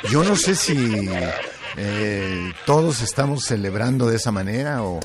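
A man's voice: mid-range voice, expressive, crowd noise. A man hosting a radio call-in show, joking and playful, stretching out words for effect. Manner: playful, joking, drawn-out shouts. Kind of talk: lively radio call-in chat. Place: Los Angeles studio.

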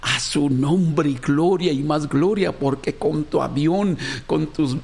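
A man's voice: on the high side, takes it slowly, gravelly, darkish tone, husky. A Latin American man preaching an impassioned, off-the-cuff sermon in a repetitive, exhortative way, calling on his listeners as brothers and sisters. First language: Spanish.

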